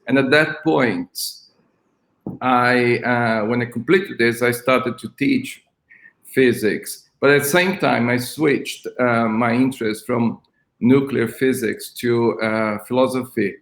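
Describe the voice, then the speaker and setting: An older man from Brazil, a school principal, speaking haltingly with many ums on a video call from his flat. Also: medium pitch, vocal fry, roomy sound. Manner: hesitant, many ums and restarts. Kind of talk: online interview. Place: flat at home.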